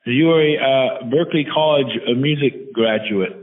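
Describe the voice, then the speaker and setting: A man with a mid-range voice interviews a guest casually. His delivery is halting and laid-back, and the sound is tinny and narrow, like a phone call. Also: medium pitch. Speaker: radio interview host. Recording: thin phone-like audio.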